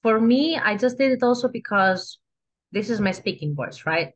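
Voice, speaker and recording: A woman's voice: a little high, animated, normal speed, lo-fi sound. A woman with a Greek accent, a trained actress and guest on a podcast, talking casually and often restarting her sentences. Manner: chatty, restarts sentences, asks "you know?" often.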